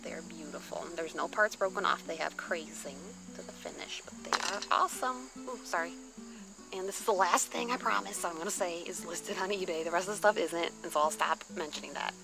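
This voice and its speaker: A woman's voice: on the low side, fairly quick, creaky, rough recording. Gushing, informal woman vlogger over music. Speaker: vlogger showing thrift finds. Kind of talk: casual show-and-tell chat. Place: outdoors on a patio or deck at a table.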